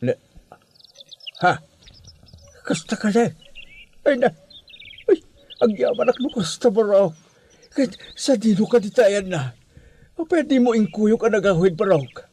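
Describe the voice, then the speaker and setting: A man playing an emotional elderly father in an Ilocano radio drama, with an Ilocano Filipino accent and a halting, sighing delivery. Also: sits high, expressive, a little mellow. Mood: surprised, grateful.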